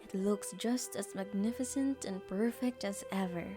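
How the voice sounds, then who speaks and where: A woman's voice: medium pitch, normal speed, over music. A woman reading scripted story narration as the narrator of an audio drama.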